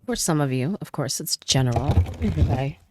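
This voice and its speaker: A woman giving a casual tarot reading, chatty, with frequent fillers, trailing pauses and light laughs, and sounding stuffy from a cold. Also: sits low, expressive, a little mellow, a little hiss or hum. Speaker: tarot reader.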